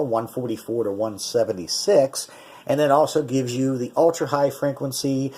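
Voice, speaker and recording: A man's voice: mid-range voice, warm tone, breathy edge. Man casually demonstrating gear. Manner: conversational, explanatory, self-correcting. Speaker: radio reviewer.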